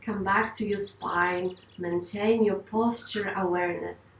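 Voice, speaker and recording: Woman, meditation instructor, unhurried reassuring delivery, mid-pitched voice, recorded at a distance with room echo. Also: steady tone, slight background noise. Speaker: yoga and meditation teacher. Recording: roomy, distant microphone.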